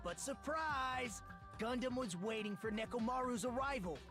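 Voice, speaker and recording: An actor playing a calm, analytical teenage boy detective in an anime video game, explaining in a measured way. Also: very high-pitched. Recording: game music behind voice.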